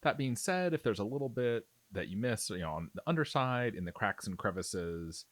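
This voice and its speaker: A man's voice: lowish voice, lively intonation, normal speed, no echo. A man giving a casual, explanatory tutorial, talking conversationally as if thinking aloud. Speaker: miniature painting tutorial host. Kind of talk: casual, explanatory tutorial narration over painting.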